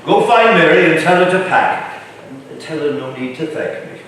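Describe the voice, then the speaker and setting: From far off in a very echoey room comes a man playing an older colonial governor, his voice on the high side. He is imperious and formal, with a dry, shrewd edge, as in a historical stage play. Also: expressive, normal speed, fairly bright tone, slight background noise. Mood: brisk, shrewd. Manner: commanding, formal, wry.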